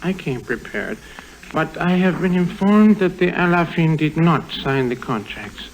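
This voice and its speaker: An actor playing a wary, sinister messenger for a shady boss, with formal, deliberate speech in a 1950s radio drama. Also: male voice, fairly high pitch, lively intonation, slight background noise, rough recording. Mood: guarded, ominous.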